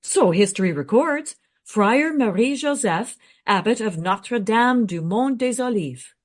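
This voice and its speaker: Radio play researcher, female actor: knowledgeable, frank, brisk, very expressive pitch swings. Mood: informative, tactful. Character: well-informed, matter-of-fact female history researcher.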